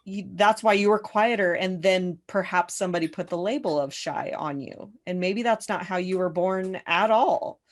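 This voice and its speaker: A woman coach hosting a podcast, speaking in a calm, advising, affirming way. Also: lowish voice, moderate pace, hardly any pauses. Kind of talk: podcast conversation.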